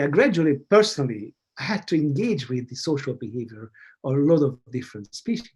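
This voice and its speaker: A man from Hungary, speaking as an academic guest in a halting, musing way that leans on key words, over a video call. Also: a little high, breathy edge. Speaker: academic guest, behavioral scientist. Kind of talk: thoughtful interview conversation.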